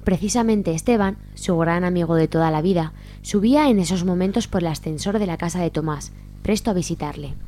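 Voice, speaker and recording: A woman's voice: fairly low pitch, fairly quick, warm tone, a little hiss or hum. A woman with an accent from Spain, reading scripted narration for a radio serial. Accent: Spanish, from Spain.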